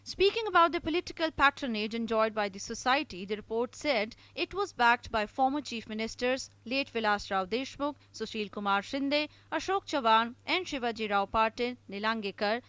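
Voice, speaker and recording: An Indian-accented woman narrates a scripted news report. Her voice sits a little high for a woman, and the recording is very dry, with no room sound around it. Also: animated, moderate pace, hardly any pauses, bright, forceful tone, no room sound.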